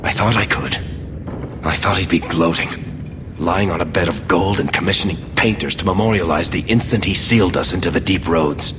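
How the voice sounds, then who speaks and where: Man playing a deep-voiced, wry dwarf. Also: a little fast, lightly acted. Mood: grave, resigned. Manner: wry, understated. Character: weary, wry male dwarf companion, grieving his brother. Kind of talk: video game voice acting. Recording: loud background noise and game ambience.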